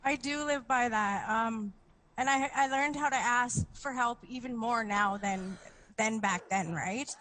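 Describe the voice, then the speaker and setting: A woman's voice: fairly high pitch, a little slow, fairly bright tone, poor audio. A woman guest speaking reflectively and resolutely in an interview. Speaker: guest, book author. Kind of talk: interview answers.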